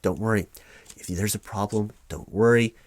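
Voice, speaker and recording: Low-voiced man, halting office ASMR roleplay. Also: no room sound. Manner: halting, stammering, repeats himself reassuringly. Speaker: claims administrator in a one-sided roleplay. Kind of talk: ASMR office roleplay, reading forms aloud and explaining.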